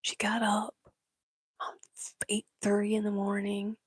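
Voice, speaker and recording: Whispery breathy woman chatting on a stream, gravelly, dry close sound. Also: medium pitch, completely flat delivery, unhurried, dry recording. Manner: soft whispering, chatty, laughs often. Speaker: livestream host. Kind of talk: whispered casual chat with viewers.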